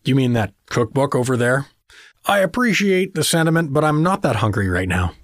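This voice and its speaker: An actor playing a bewildered, wisecracking average dad, flustered, hesitant and sarcastic, in a fantasy comedy audio drama. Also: male voice, mid-range voice, animated, moderate pace, no room sound, clean studio sound, natural voice. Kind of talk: audio fiction comedy acting.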